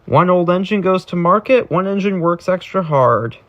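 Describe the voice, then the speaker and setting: A man with a fairly high voice reads a children's storybook aloud. He delivers the rhyming verse in a sing-song, expressive storyteller's manner. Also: moderate pace, muffled recording. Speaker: storybook reader.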